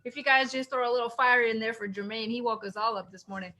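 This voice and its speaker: A woman's voice: on the high side, flat delivery, quick pace, pushed and bright. A woman co-hosting an event in an upbeat, casual, joking and enthusiastic way. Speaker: event co-host. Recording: clearly noisy online call.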